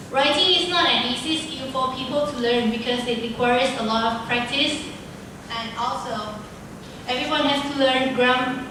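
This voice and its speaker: Thai teen girl reciting a contest speech, bit high, really echoey hall, far from mic, noisy. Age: teens. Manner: careful, formal, recited delivery.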